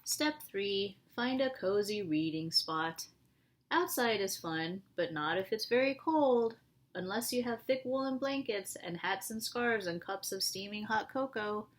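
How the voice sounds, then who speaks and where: A woman reading a picture book aloud to young children in an animated way, often asking them questions. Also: medium pitch, unhurried.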